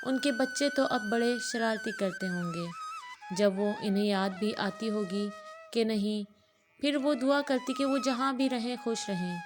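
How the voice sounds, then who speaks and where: A woman storyteller narrates a scripted moral tale. Her voice sits at an ordinary middle pitch for a woman, and music plays clearly behind her. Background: background music.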